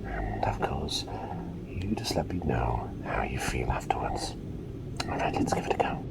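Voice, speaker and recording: A man playing a gentle, courteous plague doctor in a whispered ASMR roleplay, breathy and soothing, close to the microphone. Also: lively intonation, exaggerated voice. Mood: soft, attentive. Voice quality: breathy whisper with low gravelly creak. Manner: soothing, unhurried, quaintly polite. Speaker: ASMR performer.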